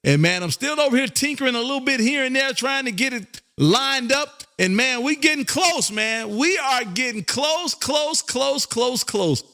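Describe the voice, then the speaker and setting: A man from Texas hosting a ham radio live stream with a loud, showy, repetitive on-air patter. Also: very high voice, expressive, normal speed, few pauses. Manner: loud, showy, repetitive, shouts and half-sings call signs. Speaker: amateur radio operator and live stream host. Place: radio room.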